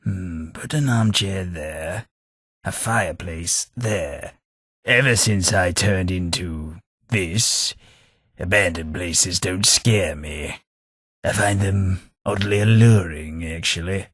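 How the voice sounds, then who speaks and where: Plummy english ghoul toff, slow and lowish, dead dry booth sound. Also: male voice, a bit bright, breathy edge, no echo, heavily acted. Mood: wry. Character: posh ghoulish male English gentleman companion, wry. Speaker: game companion. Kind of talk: game voice lines.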